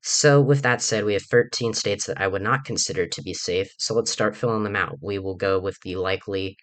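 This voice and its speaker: Man, young adult, very low voice, bright edge, dry close sound, groggy, rambling with fillers. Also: a little fast, dry recording. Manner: rambling, many fillers and restarts. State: groggy from recent illness. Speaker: political channel host. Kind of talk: casual political commentary video.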